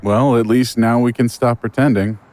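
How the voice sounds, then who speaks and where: An actor playing a world-weary, fed-up investigator, bitter and halting, in a horror audio drama. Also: male voice, medium pitch, fairly even intonation, darkish tone, slight background noise, close to an everyday voice.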